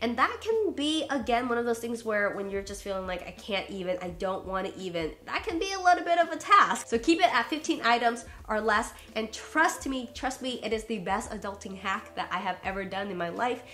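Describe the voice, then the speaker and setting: A young woman talks straight to her viewers in a loose, rambling, upbeat way. Her voice sits a little high and sounds stuffed up and nasal from a cold. She chats casually, like a friend catching up. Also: lively intonation, a little fast, hardly any pauses, bright, forceful tone. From USA. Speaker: YouTuber. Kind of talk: casual vlog talk to camera.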